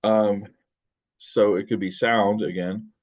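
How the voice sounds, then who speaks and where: A middle-aged American man with a deep, low-pitched voice teaches a linguistics class from his desk. He talks in a loose, rambling way, full of fillers and self-corrections. It sounds like a webcam-recorded lecture. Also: steady tone, takes it slowly, a little mellow. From United States. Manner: loose, rambling delivery with many fillers and restarts. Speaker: college linguistics instructor. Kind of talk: recorded lecture over screen share. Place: indoor room at a desk.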